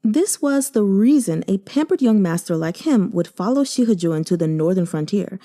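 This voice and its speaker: A woman narrating a translated novel as an audiobook, in a measured, even storytelling manner. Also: mid-range voice. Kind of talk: reading a translated web novel aloud.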